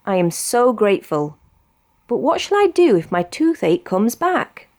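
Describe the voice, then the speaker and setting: A woman's voice: mid-range voice, sing-song, measured pace, darkish tone, pitched above the performer's own voice. A woman with a British accent voicing a big, snappy male crocodile in a children's storybook, in an emphatic way. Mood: grateful, anxious.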